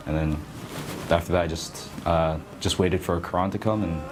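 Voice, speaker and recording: A man telling a personal story casually in an interview, halting as he searches for words. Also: low-pitched, steady tone. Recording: music playing behind the voice.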